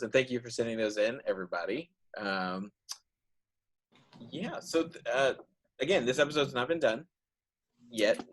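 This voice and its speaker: A man hosting a casual group question session over a video call. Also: medium pitch. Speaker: host of a cast question session. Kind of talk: casual chat.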